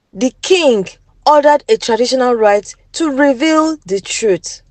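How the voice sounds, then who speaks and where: A woman's voice: a little high, a little mellow, no echo. A woman with a Nigerian accent narrating a folktale in a dramatic storytelling style.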